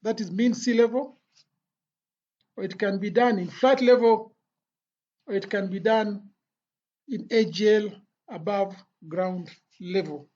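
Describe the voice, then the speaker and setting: An instructor giving an explanatory lecture in a deliberate, phrase-by-phrase way with pauses. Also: medium pitch, mellow. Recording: dull, lo-fi voice recording.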